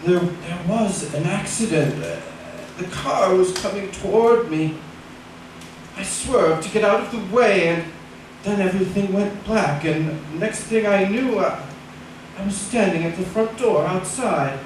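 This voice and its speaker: An actor playing a middle-aged husband in an old-time radio thriller, halting and urgent, shaken yet greedy and insistent. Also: male voice, high-pitched, a little hiss or hum. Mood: halting, dazed. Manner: halting, repeats phrases, urgent. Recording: echoey distant radio-drama recording with music.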